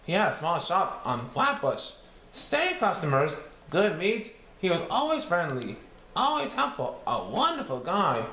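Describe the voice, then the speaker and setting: Cheerful cartoon sponge reading a storybook, high, wildly swooping sing-song delivery with stumbles, in an echoey room. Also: male voice, wildly swooping pitch, unhurried, sharp tone, heavily acted. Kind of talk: storybook read-aloud. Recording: echoey room with faint background noise.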